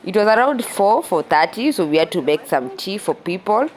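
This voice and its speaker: A young Kenyan woman with a Kenyan accent narrating her outdoor cooking vlog in a relaxed, chatty way, a little stuffy from a cold. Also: medium pitch, normal speed, slight background noise. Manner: relaxed and chatty, with frequent "yeah" and restarts. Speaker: cooking vlogger. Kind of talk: casual voice-over narration of a cooking video.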